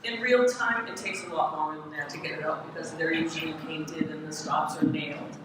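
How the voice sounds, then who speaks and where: A presenter explains parts one by one in a live hands-on demonstration. The voice sits at a middling pitch and rings heavily around a reverberant hall, with clear noise in the recording. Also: steady tone, brisk. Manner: explanatory, defining each part as it is shown. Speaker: presenter, window restorer. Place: large echoey room in a city hall. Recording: echoey hall, distant, noisy live event recording.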